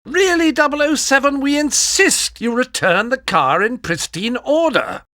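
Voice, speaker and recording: Casting: exasperated British spy quartermaster for a video game, very high bright voice, scolding and pompous. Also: male voice, animated, unhurried, no room sound, clean studio sound, heavily acted.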